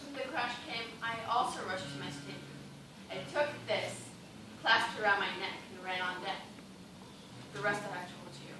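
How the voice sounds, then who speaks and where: A woman's voice: mid-range voice, normal speed, close to an everyday voice. A woman on stage playing a fearful, despairing dancer shipwrecked on a raft, with plaintive theatrical delivery. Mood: uneasy. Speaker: stage actor. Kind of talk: stage play dramatic acting. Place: theatre stage, recorded from afar. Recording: very echoey stage, distant mic, loud noise.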